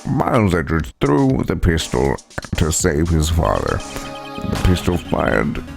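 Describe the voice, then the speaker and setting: A deep-voiced older lawyer acted by a man stammers and deliberates in avuncular fashion. The speech is slow and swings widely in pitch, a theatrical game performance over clear music. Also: wildly swooping pitch, heavily acted. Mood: ponderous, concerned. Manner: stammering, ponderous. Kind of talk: dramatized video game dialogue. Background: background music.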